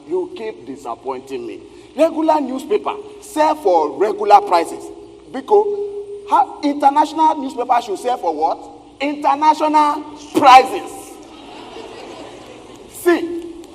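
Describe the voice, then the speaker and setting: A man's voice: high-pitched, darkish tone, full character voice. A man playing a pushy, slick newspaper seller in a theatrical stage play. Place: theatre stage, picked up in the hall. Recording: echoey stage hall, background noise.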